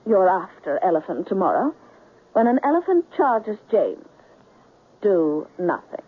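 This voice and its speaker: A woman's voice: mid-range voice, very animated, measured pace, slightly rough. An actress playing a genteel, scheming, seductive woman on safari in a 1970s radio drama, with dramatic, emotive delivery. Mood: coldly scheming. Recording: tinny old radio with light noise.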